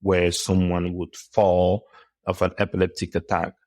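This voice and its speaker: A middle-aged man from Cameroon, a podcast guest speaking in a thoughtful, measured way. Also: low-pitched, completely flat delivery, a little mellow, no room sound, studio quality. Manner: thoughtful, measured, with pauses and restarts. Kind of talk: conversational interview.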